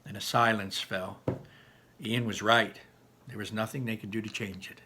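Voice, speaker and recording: A man reading a children's story aloud in an expressive, animated way with dramatic pauses. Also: fairly low pitch, background noise. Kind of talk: animated children's book narration.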